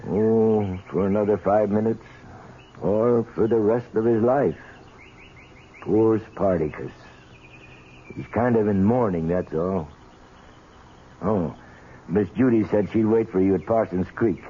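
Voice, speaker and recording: An actor playing a wry, plain-spoken stable hand in a 1970s radio drama. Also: male voice, fairly low pitch, slow pace, pauses often. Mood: rueful. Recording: tinny, noisy old radio recording.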